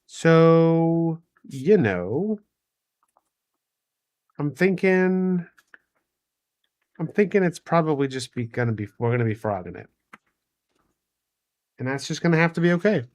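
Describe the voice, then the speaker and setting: A man chatting casually on a live game stream, hesitantly thinking out loud with dry playfulness. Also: on the high side, lively intonation, slow, pauses often. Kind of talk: casual live-stream chat while building a card deck.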